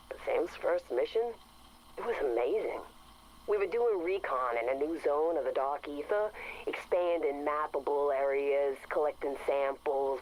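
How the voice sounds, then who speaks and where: A brash female squad operator logging a report in a game. She is blunt, colloquial and excitable, at a medium pitch for the role. There is light noise on the recording. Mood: candid. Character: brash, candid female field operator, admiring and informal. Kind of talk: video game audio log report. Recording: noisy in-game logged radio report.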